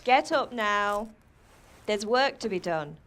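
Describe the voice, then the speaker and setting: A woman's voice: mid-range voice, lively intonation, slow, fairly bright tone, slight background noise. An actress playing a fussing, scolding mother who rouses her grown son, in a game cutscene. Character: scolding, caring peasant mother. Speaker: mother and blacksmith's wife. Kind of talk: video game cutscene acting.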